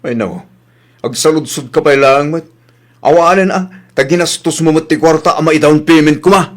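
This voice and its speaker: A man's voice: a little high, normal speed, natural voice. A man with an Ilocano accent playing an angry, exasperated husband in a comedy radio drama. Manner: scolding, accusing, exasperated. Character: angry husband whose savings were spent.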